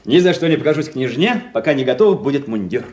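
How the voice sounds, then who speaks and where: An actor playing a vain, excitable young officer in a radio drama, theatrical, exuberant and pleased with himself, naively in love. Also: male voice, mid-range voice, quick pace, natural voice. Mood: smug, coy. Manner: theatrical, exuberant, self-satisfied. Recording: roomy, echoing radio play studio.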